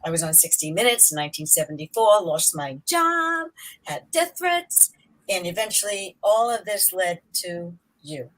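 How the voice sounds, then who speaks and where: A woman's voice: on the low side, very animated, normal speed, a bit bright, poor audio. A woman around eighty introducing herself on a panel, emphatic and dramatic in her delivery. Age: 80s.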